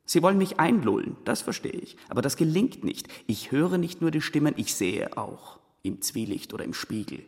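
A menacing, haunted young man in a radio play. His voice creaks and swings widely in pitch, intense and accusing, ringing in a long hall reverb. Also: very animated, normal speed, hardly any pauses, darkish tone. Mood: paranoid, threatening. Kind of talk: German radio drama acting.

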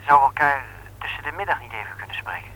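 An actor playing a hesitant, worried brother on the telephone, in halting 1960s radio serial style. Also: male voice, mid-range voice, animated, bright, forceful tone, slightly rough, rough recording. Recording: old radio telephone scene, faint noise.

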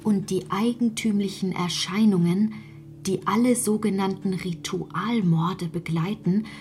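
A woman playing a solemn reader who recites a formal text in a deliberate, declamatory way. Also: mid-range voice, fairly even intonation, a little mellow, soft background music, lightly acted. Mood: solemn, insistent. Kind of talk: formal declamatory reading in radio drama.

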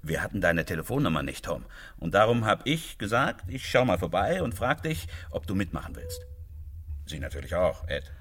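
An actor playing a sociable, talkative small-town hunter in a radio play, with a folksy manner. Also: male voice, low-pitched, big pitch swings, normal speed. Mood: helpful. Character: chatty, sociable small-town hunting club man.

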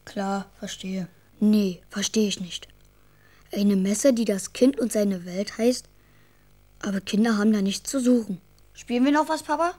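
A woman's voice: mid-range voice, measured pace, dark tone, lightly acted. A female performer playing a young boy, imaginative and caring, chatty and earnest in a children's radio drama. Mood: puzzled, hopeful.

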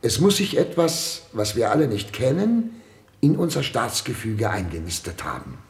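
An actor playing a pompous, fretful head of state in a German radio play, speaking in an oratorical, theatrical way. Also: male voice, medium pitch, wildly swooping pitch. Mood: grave, self-important. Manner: pompous, oratorical, theatrical throat-clearing and pauses. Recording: roomy, reverberant radio studio sound.

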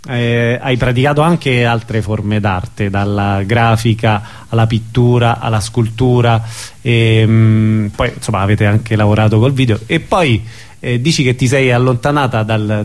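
A man interviewing casually on radio, halting as he searches for words. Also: mid-range voice.

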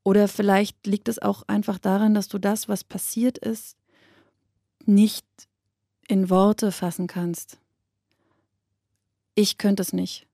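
An actress playing a somber woman narrator in a radio drama, speaking in a measured, reflective, literary monologue addressed to a withdrawn loved one. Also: mid-range voice, little pitch movement, creaky, warm tone, no echo, studio quality. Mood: resigned, sad.

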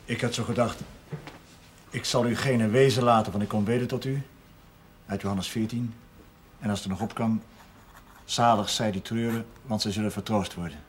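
A man's voice: lowish voice, steady tone, moderate pace, long pauses, a little hiss or hum, natural voice. A man acting a humble, grieving working-class widower in a period television drama, hesitant, earnest and deferential. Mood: subdued grief. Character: humble, grieving widowed brickworker father, respectful and quiet-living.

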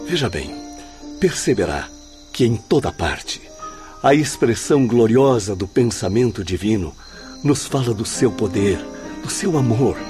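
An actor playing a wise, consoling spirit mentor in a radio drama, speaking in an exalted, preaching manner. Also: male voice, fairly low pitch, expressive, unhurried, mellow, faint music behind.

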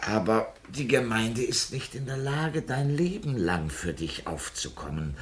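An actor playing a stern, condescending parish official, speaking formally in 1950s German radio drama style. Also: male voice, mid-range voice, expressive, unhurried, lightly acted.

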